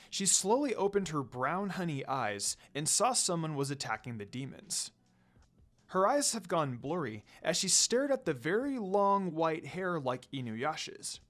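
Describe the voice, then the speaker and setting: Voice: mid-range voice, a bit bright. A narrator reading a fan fiction story aloud in a dramatic storytelling style.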